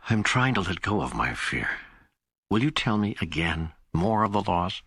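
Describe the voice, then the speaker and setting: Guy as a troubled seeker questioning god, earnest, raspy edge, dry close recording, audiobook. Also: low-pitched, big pitch swings, moderate pace, fairly bright tone, dry recording, heavily acted. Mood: earnest, seeking. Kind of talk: audiobook dialogue reading.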